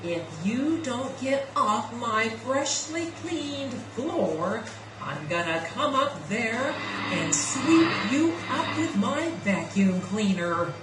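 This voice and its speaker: A woman voicing a cranky, house-proud cleaning woman in a puppet show, scolding in drawn-out words. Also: on the high side, animated, music in the background. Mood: stern. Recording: echoey, distant, faintly noisy room.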